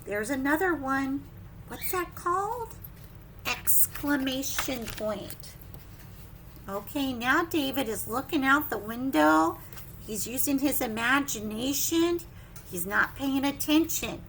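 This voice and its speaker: A woman leading preschool story time, reading a picture book with simple, expressive asides and questions to young children. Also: high voice, takes it slowly, a little hiss or hum, low-quality recording.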